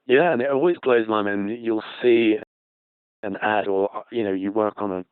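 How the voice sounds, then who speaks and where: A man's voice: lowish voice, moderate pace, clear, resonant tone, no room sound. A man hosting a conversational panel discussion, heard through a narrow radio transmission. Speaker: panel host.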